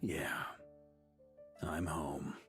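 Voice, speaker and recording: An actor playing a weathered, easygoing and noble knight commander in a video game, wry and sincere, with music behind him. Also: male voice, extremely low pitch, steady tone, exaggerated voice. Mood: warm, relieved. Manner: unhurried, wry, sincere, with sighs. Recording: music under the voice.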